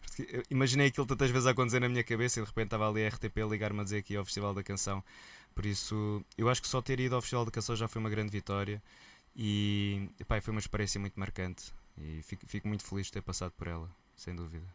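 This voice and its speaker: A man with a European Portuguese accent, a musician guest telling stories in a relaxed, colloquial interview chat. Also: fairly low pitch, racing, clean tone. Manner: lively storyteller, relaxed and colloquial.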